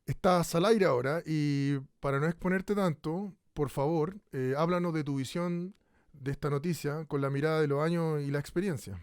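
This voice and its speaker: Measured man playing a radio host. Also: on the high side, clean tone, no room sound, clean studio sound, natural voice. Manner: measured, hesitant with fillers. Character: male radio host moderating a debate, measured and polite. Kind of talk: radio drama acting.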